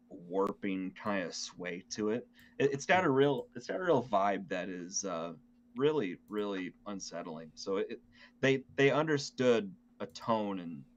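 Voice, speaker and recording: A man in a casual game discussion, speaking haltingly as if thinking aloud, on a lo-fi recording. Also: sits low. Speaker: podcast host. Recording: dull lo-fi mic, clear noise.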